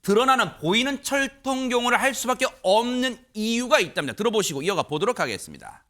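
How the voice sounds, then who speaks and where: Bright, high-pitched male news host. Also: fast. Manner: animated, frequent interjections. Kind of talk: conversational news talk, questioning panelists.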